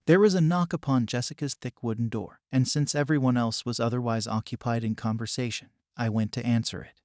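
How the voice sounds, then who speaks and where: Male narrator, middle pitch, calm and measured, dry close sound. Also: dry recording. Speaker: story narrator. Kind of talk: scripted narration.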